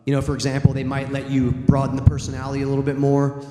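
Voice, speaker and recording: A man's voice: medium pitch, monotone, fast talker, warm tone. Man talking with echoey hall reverb. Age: adult, former college theater student. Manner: loose and rambling, with many ums and restarts. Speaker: voice actor panelist. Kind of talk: convention panel answers. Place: large convention hall.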